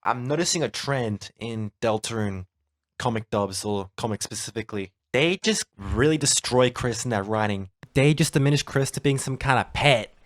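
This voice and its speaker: A young man gives a scripted video rant. He sounds exasperated and slangy, stretching words for melodramatic emphasis. His pitch is average, with music faintly behind him. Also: no echo, clean studio sound. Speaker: video commentator. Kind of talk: scripted YouTube commentary rant.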